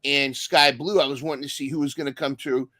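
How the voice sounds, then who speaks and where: A man's voice: mid-range voice, steady tone, fairly quick, sharp tone, dry recording, clean studio sound. A man co-hosting a casual recap podcast, talking enthusiastically and often restarting his sentences. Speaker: podcast co-host.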